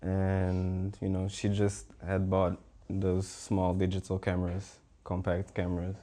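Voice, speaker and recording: Deep, flat-voiced young man, relaxed. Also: measured pace. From Portugal. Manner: relaxed, rambling, many fillers. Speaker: visual artist, panel guest. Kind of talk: casual conversational talk.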